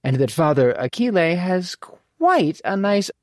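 A woman's voice: fairly low pitch, sing-song, measured pace, darkish tone, dry recording, studio quality, pitched above the performer's own voice. An audiobook narrator voicing a fond, wry older mother, a formal and imperious woman who teases as she talks. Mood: teasing, brisk. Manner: formal, crisp, teasing phrasing. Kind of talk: audiobook character dialogue.

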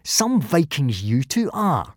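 A man's voice: medium pitch, huge pitch swings, normal speed, fairly bright tone, breathy edge, no room sound, studio quality, exaggerated voice. A man voicing a smug young Viking bully in a storybook, drawling, jeering and mocking.

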